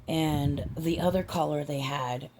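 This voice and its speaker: A woman chatting softly in a home vlog, her voice raspy and hoarse from a raw throat, halting with many ums and restarts. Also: low-pitched, fairly even intonation, noisy background. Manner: soft, hushed, near-whispering. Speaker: doll-collecting vlogger. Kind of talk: casual vlog chat.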